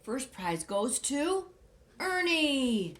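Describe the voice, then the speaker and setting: A woman's voice: high-pitched, big pitch swings, drawn-out words, slight background noise, voice pushed up, exaggerated voice. A woman voicing a kindly male storybook shopkeeper who announces like a contest judge, with emphasis. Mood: announcing.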